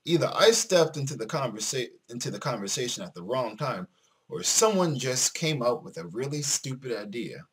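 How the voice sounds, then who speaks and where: A man's voice: mid-range voice, sing-song, moderate pace, breathy edge. A man reading the part of a dry, sardonic teenage schoolboy who narrates his life in a visual novel. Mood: dry, wry.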